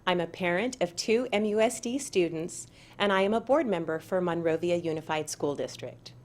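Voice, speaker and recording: A woman reading aloud to children as a storyteller, with deliberate, dramatic emphasis. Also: lowish voice. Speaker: parent and school board member reading aloud.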